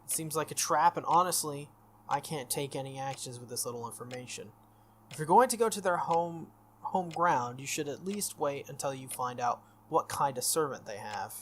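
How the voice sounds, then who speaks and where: A man voicing a shrewd, cautious young female mage from a visual novel, brisk and explanatory. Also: on the high side, a bit bright, a little hiss or hum, natural voice. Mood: guarded. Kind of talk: visual novel character read aloud.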